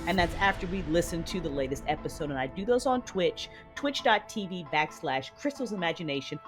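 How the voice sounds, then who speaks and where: A woman hosting an audio drama podcast, reading announcements and credits in a chatty, inviting way. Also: lowish voice. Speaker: host and producer of an audio drama. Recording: music behind the voice.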